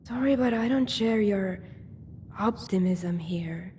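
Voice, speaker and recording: A woman's voice: medium pitch, slow pace, dark tone, a little hiss or hum, close to an everyday voice. A woman playing a practical, guarded adventuring companion in a video game, speaking casually and matter-of-factly. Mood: impatient. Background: music.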